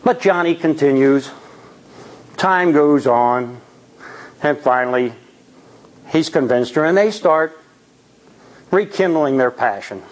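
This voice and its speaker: Slow-paced man telling a spooky story. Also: fairly high pitch, expressive, poor audio. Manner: theatrical, suspenseful, with deliberate dramatic pauses. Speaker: storyteller at a Toastmasters tall tales event. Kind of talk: dramatic live spooky storytelling.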